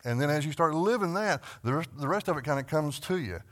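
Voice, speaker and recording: A man's voice: a little high, expressive, quick pace, a bit bright. A man with a Texan accent leading a church Bible class, talking earnestly with frequent restarts and repeated words. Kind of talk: conversational Bible class teaching.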